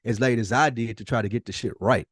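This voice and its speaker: A man's voice: mid-range voice, fast, no echo, clean studio sound. A middle-aged man talking casually on a podcast as co-host, motivational and emphatic, repeating phrases for weight and using profane slang.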